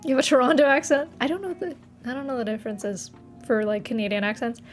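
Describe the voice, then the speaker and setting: A woman's voice: high voice, fairly even intonation, quick pace, faint music behind. A young woman from Massachusetts, chatting casually with her live chat from her apartment, giggling and trailing off mid-sentence. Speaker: streamer.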